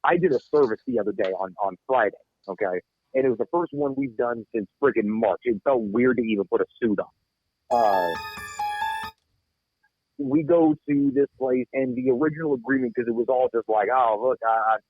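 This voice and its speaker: A man's voice: medium pitch, no room sound. A man co-hosting a podcast in casual chat, blunt and swearing freely as he tells a long story. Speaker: podcast co-host. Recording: tinny phone-like sound, dry.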